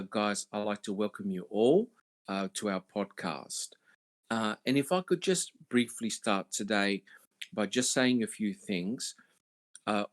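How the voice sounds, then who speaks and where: A man hosting a casual panel podcast, speaking haltingly with many fillers. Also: on the low side. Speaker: podcast host.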